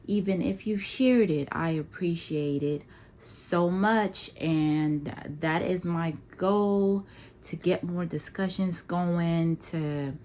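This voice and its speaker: Slow-spoken woman in her thirties. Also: on the low side, darkish tone, a little hiss or hum. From Nigeria. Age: 30s. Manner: slow and deliberate, many ums and pauses. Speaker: vlogger. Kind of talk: casual personal introduction to camera.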